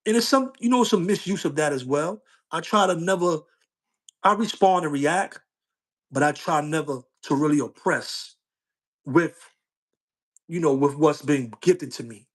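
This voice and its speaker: A man's voice: a little high, unhurried. A middle-aged man talking casually to his livestream viewers, using street slang and often repeating himself with "you know what I'm saying". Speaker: social media streamer.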